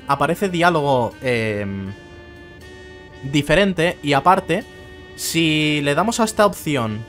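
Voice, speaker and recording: Male gameplay commentator, higher pitch, chatty and loose, faint music bed. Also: a bit bright. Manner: chatty, reads game text aloud in voices. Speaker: let's play host. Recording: faint game music behind.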